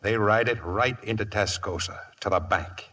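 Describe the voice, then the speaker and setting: Casting: domineering American outlaw boss for radio western; low, hard-edged voice, curt and menacing. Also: male voice, normal speed, bright, forceful tone, husky. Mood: businesslike, commanding. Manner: curt, threatening, bossy. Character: gruff, domineering male outlaw gang leader planning a robbery. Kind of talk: 1950s radio western acting.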